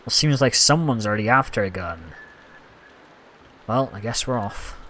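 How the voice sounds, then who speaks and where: A man's voice: on the low side, expressive, normal speed, bright, forceful tone, a little hiss or hum. A Scottish man chatting casually and rambling as he streams a game, reacting aloud to what happens on screen. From Scotland. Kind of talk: casual live-stream commentary.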